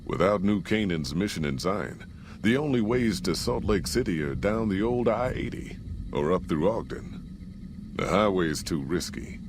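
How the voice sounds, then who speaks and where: An American actor playing a gruff, folksy caravan boss in a post-apocalyptic video game, with plain-spoken frontier drawl. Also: male voice, deep voice, expressive, a little slow, noisy background. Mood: matter-of-fact. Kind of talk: scripted video game character dialogue.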